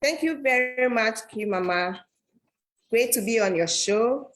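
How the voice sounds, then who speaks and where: A woman's voice: a little high, a little slow, a bit bright. A woman from Ghana, a guest speaking politely and formally over a video call. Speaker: award-winning guest.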